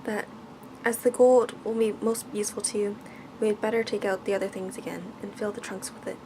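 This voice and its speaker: A woman voicing a sweet, trusting young maiden in a soft, musing way for a bedtime fairy tale. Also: mid-range voice, steady tone, brisk, noisy background, lightly acted. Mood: calm, trusting.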